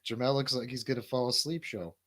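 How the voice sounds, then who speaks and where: A man's voice: mid-range voice, fairly even intonation, fairly quick, fairly bright tone, dry recording. A man hosting a casual livestream chat, laid-back, slangy and rambling. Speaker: host of a livestream show.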